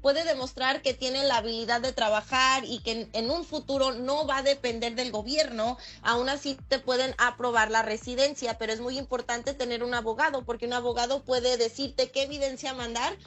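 Female legal expert for radio interview, Mexican accent, higher-pitched, plain-spoken explanatory delivery. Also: steady tone, moderate pace, few pauses, a bit bright. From Michoacán, Mexico. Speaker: lawyer, expert guest.

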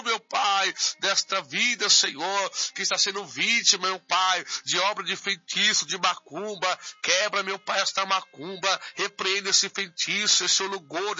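Fervent high-voiced praying pastor. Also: male voice, pushed and bright. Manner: fervent, repetitive invocations. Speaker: pastor leading intercessory prayer. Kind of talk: fervent spoken prayer. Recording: dull lo-fi radio sound.

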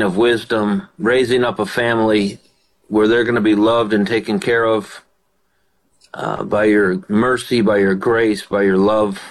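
Low-voiced older pastor hosting radio. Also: male voice, rough recording. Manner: warm affirmations, fervent praying. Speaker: radio show host and pastor. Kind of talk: call-in radio with prayer.

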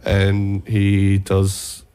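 A man's voice: deep voice, completely flat delivery, very slow, mellow, clear, resonant tone. A young man with a cold, sounding nasal, chatting casually as a podcast co-host. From Australia. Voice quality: laid-back, slangy, enthusiastic about gear. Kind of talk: casual chat.

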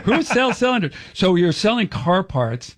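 A man's voice: high voice, big pitch swings, normal speed. A middle-aged man hosting a radio show, chatting in a jokey, effusive way.

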